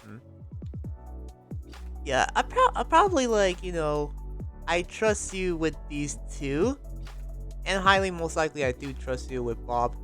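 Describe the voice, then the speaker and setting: A man's voice: a little high, big pitch swings, unhurried, pauses often, bright, forceful tone, soft background music, heavily acted. A man playing a troubled investigator in an improvised anime fantasy roleplay, casual, hesitant and rambling. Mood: hesitant, friendly.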